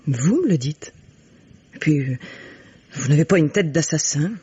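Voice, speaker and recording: A husky, fairly low-voiced woman as a protective widow in a vintage radio mystery. She is composed and earnest, and the old recording sounds dull and lo-fi. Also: animated, measured pace, warm tone, no echo, heavily acted. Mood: calm, reassuring. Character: resolute, protective widow sheltering a fugitive. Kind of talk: French radio mystery drama acting.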